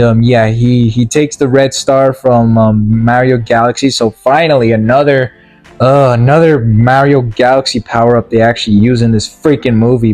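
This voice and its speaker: A man's voice: mid-range voice, a little mellow. A man giving a casual, comedic ranting recap as a video game movie reviewer, rambling and stumbling over his words with exasperation.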